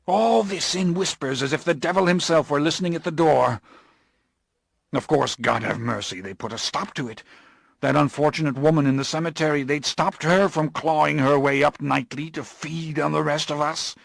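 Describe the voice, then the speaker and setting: A man voicing a desperate, drunken gentleman, pleading and bursting out in frantic, high-pitched cries. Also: normal speed, vocal fry, no echo, clean studio sound. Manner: pleading, rising to frantic outbursts.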